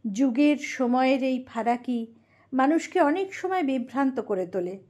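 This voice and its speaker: A woman's voice: high voice, lively intonation, a little slow, darkish tone. A woman reading a scripted, dramatic introduction as the announcer of an audio drama.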